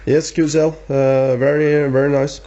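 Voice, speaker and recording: A man with a Norwegian accent chatting casually as a radio operator, halting and repeating words. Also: on the high side, little pitch movement. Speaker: amateur radio operator filming the contact. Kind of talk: casual two-way radio chat. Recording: dull lo-fi camera sound, faint hiss.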